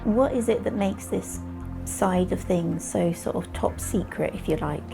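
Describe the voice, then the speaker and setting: A woman's voice: on the low side, unhurried, creaky, over music. A British woman reporting to camera on location as a news reporter. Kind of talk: piece to camera and interviewing.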